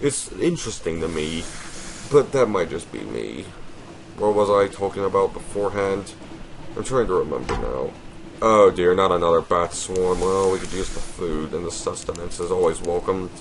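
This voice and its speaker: A man with a deep voice hosting a let's play, chatting casually and reading game text aloud in a polite, apologetic way that addresses the viewer formally. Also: animated, normal speed, a little hiss or hum, poor audio.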